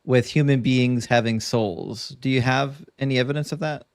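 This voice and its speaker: A man's voice: mid-range voice, normal speed, smooth, dry recording, studio quality. A man moderating a live online debate as host, firm and direct with his questions.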